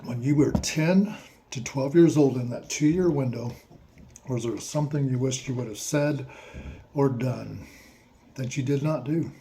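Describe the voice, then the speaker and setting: A man slowly asking listeners a series of reflective questions, with playful asides in a surprised voice. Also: medium pitch, mellow, slight background noise. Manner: slow, repetitive questions with mock-surprised asides.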